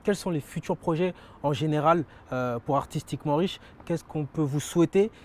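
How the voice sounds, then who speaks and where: A man's voice: fairly high pitch, moderate pace, darkish tone, a little hiss or hum. A man interviewing a guest, asking questions and encouraging him with short acknowledgments.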